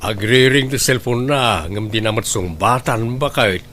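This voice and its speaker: A man's voice: mid-range voice, measured pace, a bit bright, slight background noise, lightly acted. A man playing a stern, blunt Filipino family man in an Ilocano radio drama, speaking in a scolding, questioning way. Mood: grumbling.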